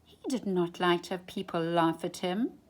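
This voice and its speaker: A woman storyteller reading a children's storybook expressively, with deliberate, dramatic emphasis. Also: fairly low pitch.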